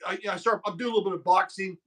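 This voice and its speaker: 50s host guy, pretty high voice, rambly stories, swears a lot. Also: steady tone, racing, a bit bright. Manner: loose storytelling, swears freely. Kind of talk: casual conversation.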